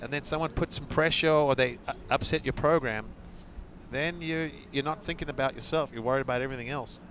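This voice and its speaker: A man chats through his color commentary at a live off-road race. His voice sits at an ordinary middle pitch, loose and conversational. Clear engine noise runs behind him. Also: a little fast, a bit bright. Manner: chatty, jokey banter. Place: outdoor race track. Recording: engine noise from outdoor track. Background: racing trucks and engines.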